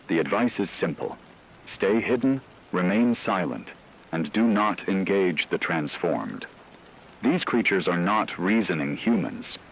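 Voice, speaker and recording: A man playing a solemn radio news anchor, reading a formal, measured emergency broadcast in a scripted drama. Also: sits low, slight background noise, rough recording. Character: grave male radio news anchor reporting a werewolf apocalypse.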